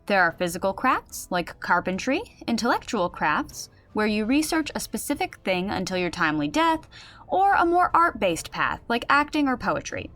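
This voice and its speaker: A woman's voice: mid-range voice, very animated, normal speed, fairly bright tone, faint music behind. A woman playing a wry game master who explains and narrates a comedic tabletop game in an audio drama. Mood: narrating, patient.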